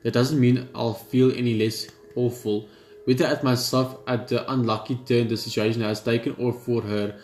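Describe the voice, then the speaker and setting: A man's voice: medium pitch, steady tone, normal speed, darkish tone. Echoey male gamer reading aloud. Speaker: gaming video host. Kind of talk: let's play, reading game text aloud with asides. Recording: echoey room, game music behind.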